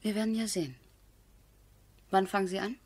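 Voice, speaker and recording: An actress playing a cultivated, practical lady of a country estate, composed and gently ironic, in radio drama style. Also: mid-range voice, steady tone, a little fast, breathy edge, lightly acted. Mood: frank, composed. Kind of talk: 1970s German radio drama acting.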